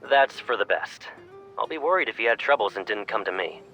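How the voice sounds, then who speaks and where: A man's voice: lowish voice, lively intonation, brisk, pushed and bright, lo-fi sound, faint music behind. A man playing a young pilot cadet, a doting and teasing boyfriend, in a romantic game fan dub. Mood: caring, bossy.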